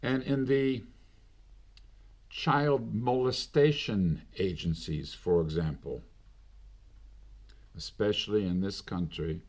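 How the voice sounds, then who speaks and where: A middle-aged man delivering a slow, deliberate monologue with long pauses between phrases. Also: on the low side, a little mellow. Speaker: commentator. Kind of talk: unscripted monologue.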